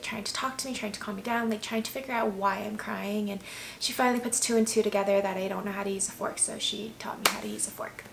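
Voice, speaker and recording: Gravelly-voiced young woman, casual and chatty. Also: mid-range voice, a little hiss or hum. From United States. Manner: candid, chatty, many "like"s and "um"s. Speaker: vlogger. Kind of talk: casual personal storytelling.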